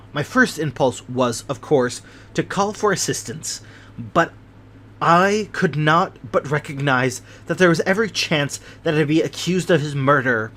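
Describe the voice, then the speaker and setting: A greedy old army major on his deathbed, confessing in a slow, heavy way, voiced by a man reading a story. The voice sits slightly high for a man. It sounds like a storybook reading. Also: a bit bright. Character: dying greedy old male army major, guilt-ridden.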